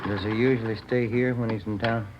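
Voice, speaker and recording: An actor playing a polite, businesslike police detective in a 1950s radio drama, with an American accent and an even, matter-of-fact manner. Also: male voice, on the low side, fairly quick, close to an everyday voice. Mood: polite, probing. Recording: muffled, noisy vintage radio recording.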